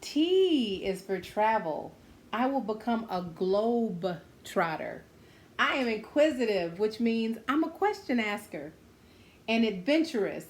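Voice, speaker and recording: A woman reading a children's book aloud, adding simple, encouraging asides spoken directly to her young listeners. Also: a little high. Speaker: children's story-time host reading a picture book aloud.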